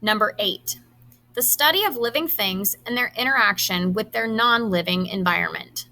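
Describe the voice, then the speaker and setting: A woman, a high school teacher, reads quiz questions aloud to students, enunciating each item deliberately and adding brief instructions. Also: sharp tone, faint music behind.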